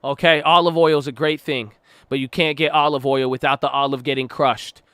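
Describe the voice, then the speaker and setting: A man hosting a trading channel, talking in an excitable, slangy, casual way. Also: mid-range voice, brisk, smooth, dry recording, clean studio sound. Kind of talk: casual hyped vlog talk.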